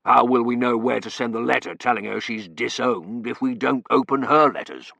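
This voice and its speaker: A man's voice: mid-range voice, normal speed, gravelly, bright, forceful tone, dry recording, voice pushed up. A man voicing a blustering older Victorian colonel. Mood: puzzled.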